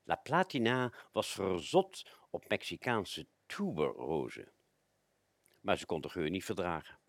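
Radio play role: obliging Cuban servant , male actor, lowish voice, huge swoops, theatrical, dry studio sound. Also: big pitch swings, unhurried, dry recording, full character voice. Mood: confiding. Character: eager, obliging Cuban manservant of a marquis.

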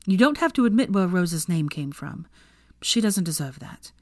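A woman reads as a shrewd young woman in a storybook, resolute and coolly assertive. The pitch moves freely, and the sound is close and dry. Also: big pitch swings, moderate pace, darkish tone, dry recording.

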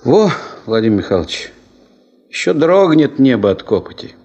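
An actor playing a boastful, folksy gold prospector in a radio play, theatrical and cheerfully self-important. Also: male voice, medium pitch, big pitch swings, moderate pace, warm tone, a little hiss or hum. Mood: excited, boastful.

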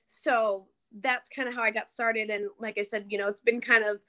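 A woman's voice: fairly high pitch, fairly even intonation, normal speed, fairly bright tone. A woman in her early thirties from New Jersey, chatting casually and ramblingly as a podcast guest. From New Jersey, United States. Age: early 30s. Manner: rambling, informal, frequent "like". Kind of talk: casual interview chat.

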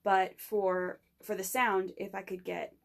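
Gravelly young woman, rambling. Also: medium pitch, level tone, measured pace. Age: young adult. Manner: rambling, with many fillers and restarts. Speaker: student pitching a project. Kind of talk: casual conversation.

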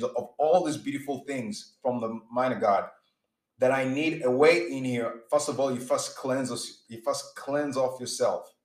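Earnest man preaching in an echoey room. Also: medium pitch. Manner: earnest, repeats words and restarts while building points. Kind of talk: sermon-style teaching.

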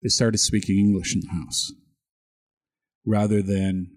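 A middle-aged man from Canada, raised speaking French, chatting casually as a podcast co-host. His voice sits low and slightly husky, recorded dry and close. He often stammers a word or two before each sentence gets going. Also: flat delivery, no echo. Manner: halting, repeats words when starting sentences.

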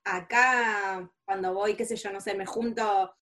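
An Argentine woman with a Rosario accent speaks as an interview guest in a mid-range voice. She is informal and chatty, telling personal stories in a relaxed, conversational flow. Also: mid-range voice, unhurried. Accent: Rosario Argentine Spanish. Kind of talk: casual personal storytelling.

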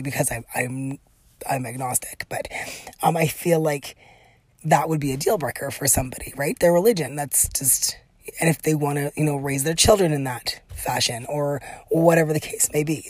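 A middle-aged woman with a breathy, raspy voice, chatting through a solo podcast with lots of fillers and laughs. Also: sits low, a little mellow, dry recording. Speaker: podcast host. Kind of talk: casual solo podcast monologue.

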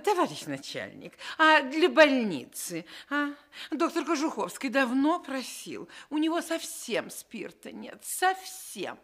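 An actress playing a brisk, obliging office clerk in a radio play. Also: female voice, high-pitched, sing-song, a bit bright, exaggerated voice. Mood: coaxing. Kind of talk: Soviet radio play acting.

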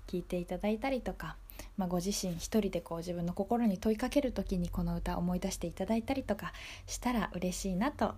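A woman's voice: on the high side, darkish tone, slightly rough. A woman presenting a radio programme as its host, speaking politely and conversationally and moving between scripted segments and her own remarks.

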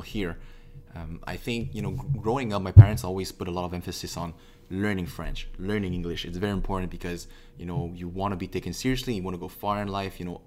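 A man's voice: low voice, darkish tone, slight background noise. A man in casual discussion, talking in a thoughtful, hesitant way with frequent restarts. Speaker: conversation partner.

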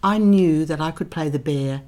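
A woman's voice: deep voice, moderate pace, warm tone. A middle-aged woman, Dutch-born, talking as an interview guest and telling stories with reflective, dry humour. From Netherlands. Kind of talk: candid interview conversation.

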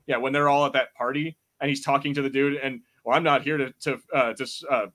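A man's voice: medium pitch, fairly even intonation, fast, fairly bright tone. A man co-hosting a podcast, talking in a casual, rambling way as he reviews a show. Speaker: podcast co-host. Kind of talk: casual film review chat.

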